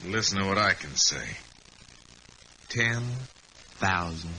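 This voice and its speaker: A man's voice: deep voice, lively intonation, slow pace, bright, forceful tone, full character voice. An actor playing a terse, calculating Old West gunman with a rustic drawl in an old radio drama. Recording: noisy old radio recording.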